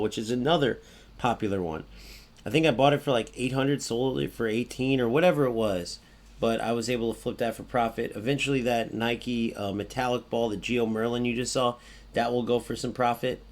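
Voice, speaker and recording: A young man with a raspy voice that keeps cracking, casually talking through a video game's trading market as a channel host, with lots of fillers and "right?" checks. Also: mid-range voice. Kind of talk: casual gameplay commentary.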